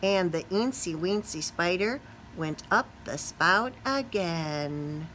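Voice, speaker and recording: A middle-aged woman reads a picture book to small children. Her voice is bright and very animated, with a sing-song lilt. There is faint noise behind her. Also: on the low side, slow pace. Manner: animated, sing-song, asks questions of young listeners. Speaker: teacher reading aloud to young children. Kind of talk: expressive children's storybook read-aloud. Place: small classroom or office, indoors.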